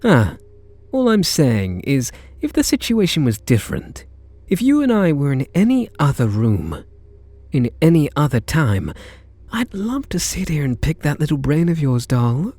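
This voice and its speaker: A British man playing a charming, teasing kidnapper who is softening toward his captive, in an intimate ASMR roleplay. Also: a little high, sing-song, measured pace, no echo, heavily acted. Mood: wistful. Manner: teasing, flirtatious, murmuring pet names.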